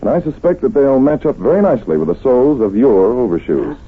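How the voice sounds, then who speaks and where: Radio detective, dryly courteous and confident, low husky tone, very varied intonation, tinny old-time broadcast. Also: male voice, very animated. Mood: probing, confident. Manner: measured, probing, dryly courteous. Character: shrewd, polite, self-assured male investigator doctor. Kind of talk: 1940s radio drama acting.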